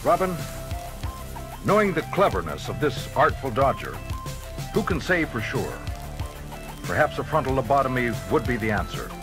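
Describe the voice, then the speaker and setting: An actor playing a resolute, righteous masked superhero with formal, declamatory delivery in a comic-book audio drama. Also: male voice, medium pitch, very animated, moderate pace, pauses often, close to an everyday voice. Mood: solemn. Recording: vintage vinyl record drama with music.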